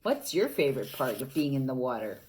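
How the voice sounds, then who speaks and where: A woman reading a storybook aloud to children, animated and asking them questions, sometimes dropping to a whisper. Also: rumbling low, a little fast, a little hiss or hum.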